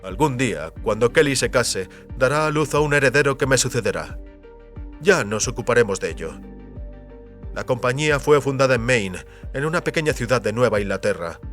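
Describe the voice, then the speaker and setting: A man plays the solemn patriarch of a secret family company in an audio drama. He is idealistic and speaks in grave, deliberate speeches at a medium male pitch. Soft music plays behind him. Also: animated, lightly acted. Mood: solemn, proud.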